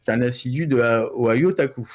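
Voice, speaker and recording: A man chatting casually as a panellist on a pop-culture news show, halting and repeating words as he builds his sentences. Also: mid-range voice. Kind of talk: casual group chat.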